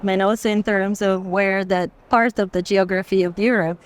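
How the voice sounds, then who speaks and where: A woman's voice: mid-range voice, fairly even intonation, moderate pace. A woman in an unscripted walking conversation about art, explaining reflectively and building long sentences in phrases. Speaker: art curator guiding a walk through a fair. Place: busy art fair hall, walking.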